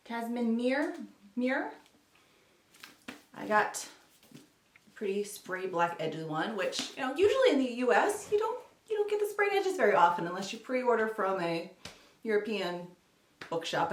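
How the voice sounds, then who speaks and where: Lady doing a chatty book vlog, highish voice, kinda far from the mic in an echoey room. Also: a little hiss or hum. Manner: chatty, informal, self-deprecating. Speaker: book vlogger. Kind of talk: casual chat to camera. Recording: roomy echo, distant microphone.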